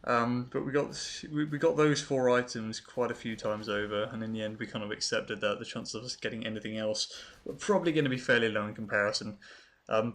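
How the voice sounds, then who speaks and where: A man's voice: mid-range voice, fairly bright tone. Casual British man, understated gaming commentary. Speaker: gaming video creator and host. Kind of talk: casual unscripted game showcase commentary.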